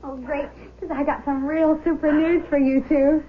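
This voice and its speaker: High-pitched, breezy young woman played by actress. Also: flat delivery, moderate pace, lightly acted. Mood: excited, breezy. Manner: bubbly, slangy, teasing. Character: breezy, excited young daughter, newly engaged. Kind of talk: 1970s radio drama acting. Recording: thin phone-like old radio broadcast.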